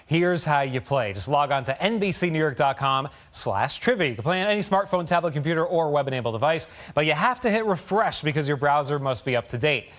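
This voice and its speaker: A man's voice: a little high, animated, brisk, hardly any pauses, fairly bright tone. A man hosting a trivia game show in an upbeat, scripted, promotional announcer style. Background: music bed.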